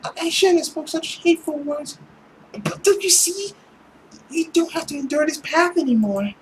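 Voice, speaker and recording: This is a man performing an earnest young visual novel heroine in a voice pitched well up. The delivery is emotional and melodramatic, with lively swings of pitch. There is faint noise behind it. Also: normal speed, poor audio. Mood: pleading. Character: earnest, indignant young woman confronting a vampire.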